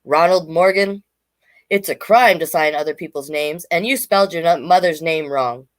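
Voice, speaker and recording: A woman's voice: sits low, normal speed, close to an everyday voice. Strict lady teacher telling kids off, woman reading her out, storybook style. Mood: stern scolding. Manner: scolding, exclaiming. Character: stern, scolding female schoolteacher in a children's story.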